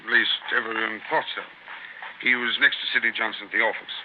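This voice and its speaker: An actor playing a formal, dry English gentleman official in a 1930s radio drama, matter-of-fact and authoritative. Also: fairly low pitch, little pitch movement, quick pace, close to an everyday voice. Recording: scratchy, tinny 1930s radio recording.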